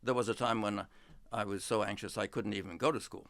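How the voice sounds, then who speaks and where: A man's voice: fairly low pitch, steady tone, a bit bright, dry recording. Older man telling stories unhurriedly. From England. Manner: unhurried, reflective storytelling with many pauses. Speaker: history professor, guest. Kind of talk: relaxed interview talk.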